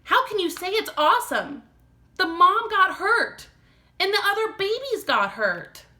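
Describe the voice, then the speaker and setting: Outspoken young sister voiced by a woman, storybook style: high, bright, sharp and emphatic. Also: big pitch swings, unhurried, heavily acted. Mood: indignant. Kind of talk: children's storybook read-aloud.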